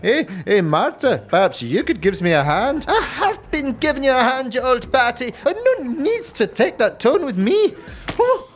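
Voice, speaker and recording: Batty, muddled fantasy seamstress, high and very animated, muttering to herself in repetitive rustic phrases. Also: female voice, moderate pace, a little hiss or hum. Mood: fussy, self-chatting. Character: batty, muddled seamstress who talks to herself and pulls corpse stitches. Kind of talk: fantasy audio drama acting.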